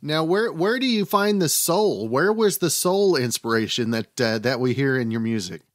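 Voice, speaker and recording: A man's voice: mid-range voice, lively intonation, normal speed. A man hosting an interview podcast, asking friendly, rambling questions with fillers. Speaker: podcast host.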